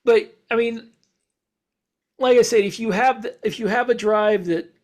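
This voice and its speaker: A man's voice: sits high, unhurried. A man co-hosting a podcast as an author, explaining things in casual shop talk with wandering, self-correcting sentences. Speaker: podcast host and science fiction author.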